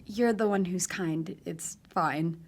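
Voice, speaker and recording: A woman's voice: fairly low pitch, slow pace, breathy edge, full character voice. An actress in an audio drama playing a shy, polite teenage girl hitchhiker, hesitant and self-effacing. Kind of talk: audio fiction drama acting.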